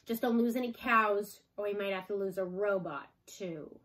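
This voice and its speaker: A woman reads the part of a bossy farmer and father in a storybook. He is stern and forceful, with a short temper and a scolding way. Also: mid-range voice, moderate pace, natural voice. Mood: grudging, stern.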